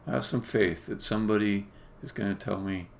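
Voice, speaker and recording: A man who is a game developer, talking casually and haltingly as he thinks aloud during a live coding stream. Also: fairly low pitch, steady tone, creaky, slight background noise.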